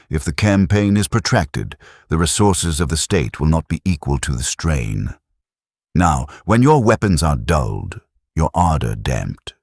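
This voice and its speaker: A man reading a classic text as a scripted audiobook, in a measured, deliberate way. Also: rumbling low, breathy edge, no room sound, clean studio sound. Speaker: audiobook narrator.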